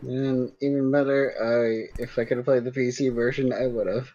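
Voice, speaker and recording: A man's voice: medium pitch, steady tone, normal speed, mellow. A man co-hosting a gaming podcast in casual chat, blunt and skeptical, on muffled audio. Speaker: video game podcast co-host.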